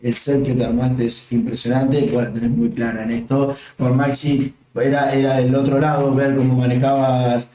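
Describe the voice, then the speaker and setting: A man with an Argentine Spanish accent, a chatty guest on a casual stream recorded in a home set, tossing in quick jokes. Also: mid-range voice, level tone, mellow, reverberant, far from the mic, poor audio. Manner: chatty, jokey, quick remarks. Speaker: guest co-host.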